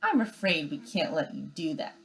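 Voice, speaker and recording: A woman reading aloud as a bossy young sister bear from a children's storybook. She is sarcastic and snappish, mocking others in a lively, swinging voice. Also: sits low, normal speed, creaky, exaggerated voice.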